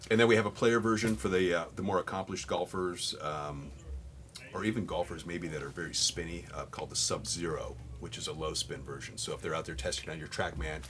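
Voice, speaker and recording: A man's voice: sits low, steady tone, brisk, fairly bright tone. A man representing a golf brand, explaining technical product details in a casual, conversational way. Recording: noticeable background noise.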